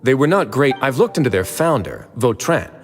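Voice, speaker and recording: An actor playing a worldly-wise prison warden in a video game, frank and reassuring, with a measured, matter-of-fact delivery. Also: male voice, mid-range voice, big pitch swings, lightly acted. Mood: composed, matter-of-fact. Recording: long reverb, music behind.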